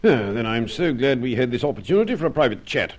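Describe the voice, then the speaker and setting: An actor playing a courteous but prying English commander in an old radio serial, speaking with hesitant, fussy politeness. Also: male voice, mid-range voice, big pitch swings, fast talker. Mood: politely prying. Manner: hesitant, fussily polite probing.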